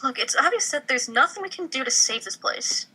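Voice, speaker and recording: A woman's voice: sits high, lively intonation, a little fast, sharp tone, slightly rough, full character voice. A woman voicing an earnest restaurant owner in a casual comedy script read. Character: earnest young restaurant-owning sister.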